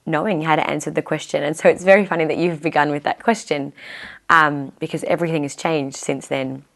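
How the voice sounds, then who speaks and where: A young woman in her twenties, a guest musician with a low voice, chatting casually and filling pauses with "um". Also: fairly even intonation, creaky, fairly bright tone. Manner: chatty, fills pauses with "um", laughs easily. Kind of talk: casual interview chat.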